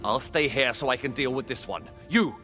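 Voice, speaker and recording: An actor playing an earnest, driven knight in a fantasy video game, with an urgent, emphatic delivery. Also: male voice, medium pitch, normal speed, music in the background, lightly acted. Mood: determined. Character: earnest, driven male knight, vengeful young warrior. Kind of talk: dramatic video game acting.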